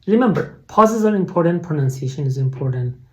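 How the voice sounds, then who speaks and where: A man with a Persian accent, speaking English as a second language, teaching an online test-prep lesson in a clear, instructive way. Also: on the high side, animated, fairly quick. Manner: explanatory, instructive, with frequent fillers and restarts.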